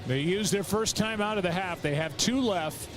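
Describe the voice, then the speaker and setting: A man's voice: high voice, moderate pace, music in the background. A man giving live football play-by-play as a television announcer. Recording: broadcast audio over stadium crowd. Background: stadium crowd.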